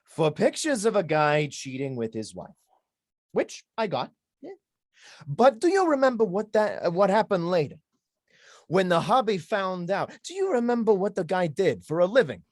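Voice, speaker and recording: An actor playing a put-upon, indignant sidekick in a comic noir stage play, complaining emphatically and sarcastically. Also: male voice, high-pitched, sing-song, moderate pace, dry recording, studio quality. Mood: aggrieved, sarcastic. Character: exasperated, put-upon male sidekick of a detective.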